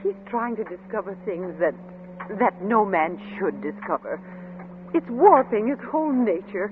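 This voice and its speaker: A woman's voice: medium pitch, lively intonation, a little slow, phone-quality sound, natural voice. An actress playing a worried, dutiful middle-aged wife and mother, fretful and proper, in old radio drama style. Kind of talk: 1940s radio drama acting. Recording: thin, noisy old radio recording.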